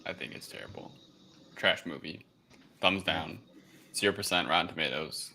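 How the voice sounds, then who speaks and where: A man's voice: sits low, moderate pace, gravelly, bright, forceful tone. A man chatting casually as a panelist on a review show.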